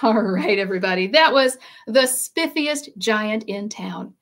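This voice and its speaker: A woman storyteller reading a picture book aloud to children in an expressive, inviting manner. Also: mid-range voice, unhurried. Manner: expressive, inviting listeners to join in. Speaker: library storyteller.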